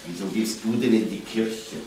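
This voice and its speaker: Actor as demanding husband, very echoey room. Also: male voice, fairly low pitch, steady tone, moderate pace, dark tone, lightly acted. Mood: suspicious, demanding. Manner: demanding, questioning. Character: suspicious, demanding husband and father. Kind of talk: German radio crime drama acting. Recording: very echoey, distant, noisy radio drama.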